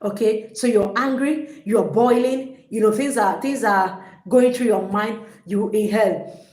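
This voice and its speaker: A woman coaches her listeners with energy and emphasis, often repeating her points. Her voice sits a little high. The sound carries a noticeable echo from the room. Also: a little slow, a little mellow. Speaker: relationship coach. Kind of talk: motivational teaching talk. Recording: echoey room.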